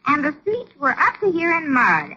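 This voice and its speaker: An American actress playing a scatterbrained, cheerfully illogical wife in a 1940s radio sitcom, explaining things with naive earnestness. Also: female voice, high-pitched, huge pitch swings, moderate pace, bright, forceful tone, heavily acted. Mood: earnest, muddled. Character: scatterbrained, cheerfully illogical married woman bus driver. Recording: dull, noisy old radio recording.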